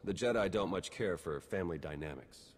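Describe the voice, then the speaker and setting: Wry male spy for a game cutscene, played by a man: deep voice, dry and cynical, long reverb over noisy ambience. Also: a little fast, lightly acted. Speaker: intelligence agent character. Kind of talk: video game cutscene acting.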